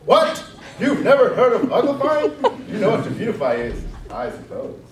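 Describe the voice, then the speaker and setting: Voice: medium pitch, huge pitch swings, moderate pace. A performer in readers' theatre playing a brash, mocking Gryphon creature with blunt, folksy, ungrammatical speech. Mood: incredulous, mocking. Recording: distant roomy hall recording, background noise.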